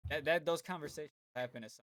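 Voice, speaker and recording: A man's voice: fairly high pitch, fast, a bit bright. A man co-hosting a casual podcast, rambling and laid-back, swearing freely. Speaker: podcast co-host.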